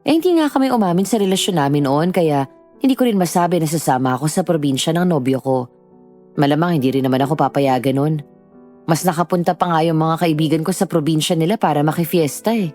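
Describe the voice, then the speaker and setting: A woman narrating as a character who recounts her own past in measured, scripted first-person storytelling. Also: low voice, mellow, faint music behind, natural voice. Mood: reflective.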